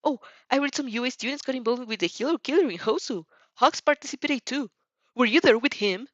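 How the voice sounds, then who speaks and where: Woman acting a loud, fervent teenage boy. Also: sits high, fairly quick, no echo, muffled recording, voice pushed up. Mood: eager. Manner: exclamatory, emphatic. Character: loud, passionate, earnest teenage boy hero student.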